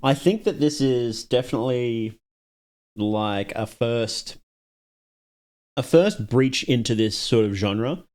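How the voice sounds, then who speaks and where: A man's voice: mid-range voice, slow, pauses often, darkish tone. A man streaming live, reacting casually and thinking aloud while echoing lines back to himself.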